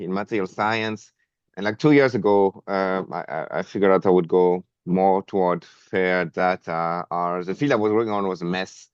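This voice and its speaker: A man's voice: on the low side, clean tone, no room sound, highs cut off. A man with a French accent introducing himself on a video call, hesitant, with many fillers and restarts. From France. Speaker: meeting participant. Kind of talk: online meeting self-introduction.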